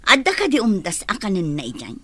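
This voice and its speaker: A woman's voice: mid-range voice, wildly swooping pitch, fast talker, pushed and bright, no room sound, exaggerated voice. A woman acting in a radio drama as a scornful female accomplice who complains.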